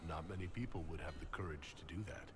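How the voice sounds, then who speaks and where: An actor voicing an earnest, measured leader of heroes in a video game. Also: male voice, extremely low pitch, in a large hall. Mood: earnest, curious. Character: male heroic leader, earnest, measured and sympathetic. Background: game music.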